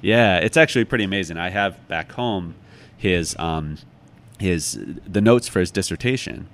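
Low-voiced, thoughtful American man. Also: moderate pace, fairly bright tone. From United States, Chicago. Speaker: author, interview guest. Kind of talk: reflective conversational interview.

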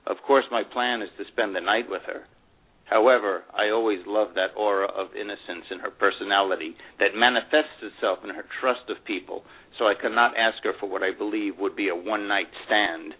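An actor reading a memoir as a wistful, proud older divorced man, reflective and halting with emphatic openings, in a dramatic first-person style. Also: on the low side, fairly even intonation, slightly rough. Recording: dull, lo-fi radio-like sound.

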